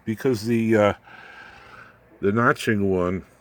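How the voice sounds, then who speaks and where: A man's voice: on the low side, slow. A man presenting an informal hands-on electronics demonstration in a folksy, rambling, plain-spoken way. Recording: clear background noise, room ambience.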